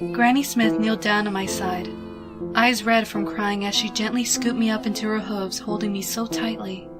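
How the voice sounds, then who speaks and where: A woman giving a hushed, expressive, dramatic reading of a suspense story, with music behind her. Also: mid-range voice, normal speed. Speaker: fan fiction reader.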